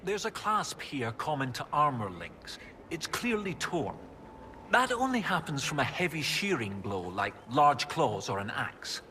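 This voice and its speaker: An actor playing an enthusiastic research professor in a video game, explaining things in a pedantic, eager way. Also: male voice, on the high side, big pitch swings, a little slow, sharp tone, slight background noise, heavily acted. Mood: absorbed, explanatory. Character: enthusiastic, bookish male research professor and archaeologist. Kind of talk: video game dialogue acting.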